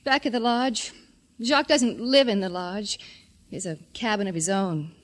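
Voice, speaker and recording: A woman's voice: medium pitch, moderate pace, close to an everyday voice. A woman playing a naive, defensive young waitress in a 1940s radio drama, speaking in a hesitant, earnest, deferential way. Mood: reluctant, confiding.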